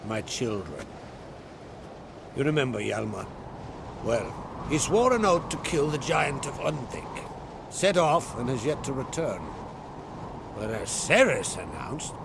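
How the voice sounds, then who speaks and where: An actor playing a proud, fatherly island jarl in a video game, speaking in a deliberate, solemn way with rustic phrasing. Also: medium pitch, very animated, gravelly, noisy background. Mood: weighty, concerned. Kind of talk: dramatic video game dialogue. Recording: game scene with loud music underneath.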